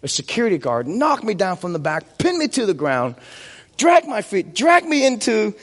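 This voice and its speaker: High-pitched man preaching in a reverberant hall. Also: wildly swooping pitch, normal speed. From United States. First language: Vietnamese. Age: 40s. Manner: conversational, rhetorical questions and self-answers. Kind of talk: sermon with personal anecdotes.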